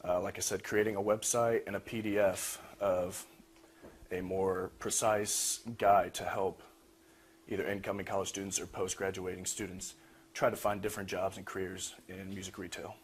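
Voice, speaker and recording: Young guy giving a student talk, lowish voice, informal, faint room noise. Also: level tone, moderate pace. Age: young adult. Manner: frequent throat clearing. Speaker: student presenter. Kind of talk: informal project presentation. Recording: noisy room recording.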